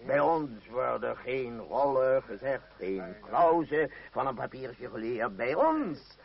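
Grandiloquent troupe leader, unhurried, swooping highish male delivery; muffled old radio drama. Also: very animated, gravelly, full character voice. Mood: self-important. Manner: theatrical, grandiloquent, savouring words. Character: florid, pompous father leading a travelling theatre troupe. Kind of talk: radio play acting.

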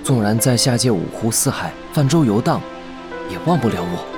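Man playing a devoted, expressive young fox spirit. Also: medium pitch, normal speed, natural voice. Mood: earnest devotion. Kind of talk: costume fantasy drama acting. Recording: music under the dialogue.

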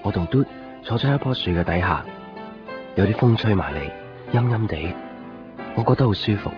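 A man playing a gentle, reflective young man in a radio drama, with a Hong Kong Cantonese accent. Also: fairly low pitch, expressive, a little fast, close to an everyday voice. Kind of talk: 1990s radio drama narration and dialogue. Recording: dull radio recording, prominent music behind.

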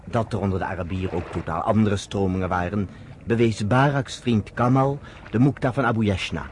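An actor playing a storyteller, narrating a radio drama in scripted prose. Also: male voice, fairly low pitch, brisk, slight background noise, lightly acted. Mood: measured narration. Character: male storyteller recounting history to a woman listener.

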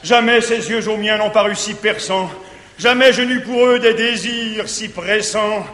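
An actor playing a jealous, tormented middle-aged guardian, declaiming classical French verse comedy in a grandiose, anguished manner on stage. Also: male voice, sits high, steady tone, a little slow, bright, forceful tone. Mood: brooding, agitated. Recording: 1950s theatre recording, echoey hall, faint hiss.

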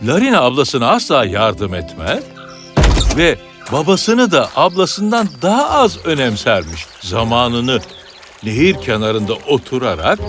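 A man tells a children's fairy tale in scripted narration. His pitch is a little high and swings dramatically from phrase to phrase, and music plays clearly behind him. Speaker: storyteller, narrator. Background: background music.

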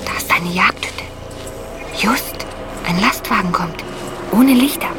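A woman's voice: medium pitch, takes it slowly, whispered, close to an everyday voice. A woman playing a wary, nervous teenage boy detective, the loyal sidekick in an audio drama. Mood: hushed, nervous. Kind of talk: hobby audio drama acting.

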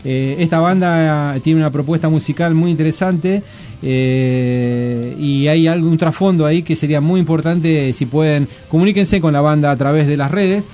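A chatty man hosts a radio show. His voice is mellow and a little high for a man. Faint music plays under his talk. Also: moderate pace. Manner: easygoing, chatty. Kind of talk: casual radio chat.

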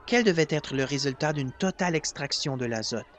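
A man's voice: on the high side, lively intonation, fairly quick. A man narrating as an audiobook reader, in a formal literary style.

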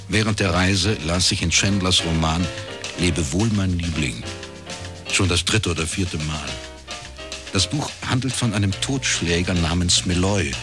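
An actor playing a laconic private detective in a radio crime drama, with a husky voice and a measured, dry way of telling things. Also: male voice, low voice. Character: laconic male East Berlin academic turned private detective.

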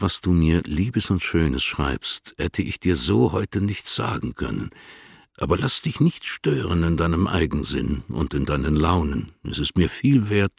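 An actor playing a dignified, affectionate older poet in a radio play, reading letters in a formal, courtly, measured way with a gravelly, husky voice. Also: male voice, rumbling low, dry recording, studio quality, exaggerated voice. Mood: warm, apologetic.

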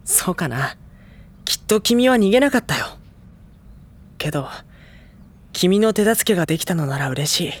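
An actor playing a gentle, earnest high school boy who speaks and narrates sincerely in an audio drama. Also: big pitch swings, moderate pace, long pauses, exaggerated voice. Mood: earnest, warm.

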